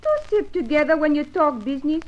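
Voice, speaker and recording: Flirtatious Frenchwoman, very animated, broken English, theatrical, outspoken, dull vintage radio sound. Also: high voice, brisk. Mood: coy, curious. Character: flirtatious, tipsy, outspoken Frenchwoman, a nightclub dancer. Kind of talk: old-time radio adventure serial acting. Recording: muffled vintage radio recording.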